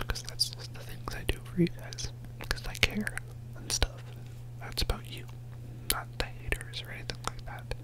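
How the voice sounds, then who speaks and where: A man whispering a soft, rambling ASMR commentary over gameplay as host of his channel, joking about himself with quiet laughs. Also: low-quality recording. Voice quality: whispering throughout.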